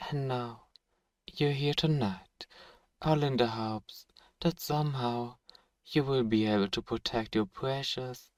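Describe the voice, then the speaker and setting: Sadistic vampire lord male actor, courtly and possessive; unhurried, mock-tender delivery, very close and dry, for ASMR roleplay. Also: medium pitch, fairly even intonation, no room sound, lightly acted. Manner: formal, courtly, mockingly tender. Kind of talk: ASMR roleplay audio drama.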